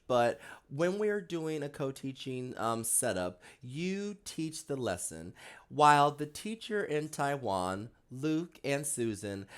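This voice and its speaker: An orientation presenter giving a recorded walk-through to new volunteers. The speaker explains patiently, with frequent "um" fillers, and stresses key reminders. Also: mid-range voice.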